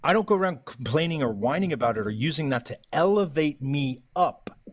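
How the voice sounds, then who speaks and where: A man's voice: a little high, animated, fairly quick, no room sound. A man from Ireland with an Irish accent, talking at length and arguing his point in a casual live-stream chat. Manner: earnest, argumentative, explains at length. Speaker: livestream host.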